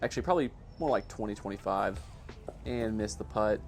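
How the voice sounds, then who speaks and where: A man giving a casual, self-deprecating voice-over commentary on his own amateur sports highlights in a matter-of-fact way. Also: a little fast, vocal fry, soft background music. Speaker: amateur disc golfer narrating own highlights.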